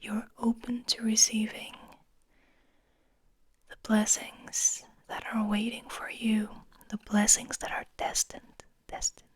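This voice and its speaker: A woman whispering breathily in a slow, soothing guided ASMR style, lingering on her words. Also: medium pitch, breathy edge, no echo. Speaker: ASMR host.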